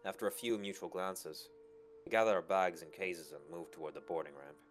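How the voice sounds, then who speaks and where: A man's voice: sits low, steady tone, a little fast. A man reading first-person narration from a visual novel as a storyteller. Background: background music.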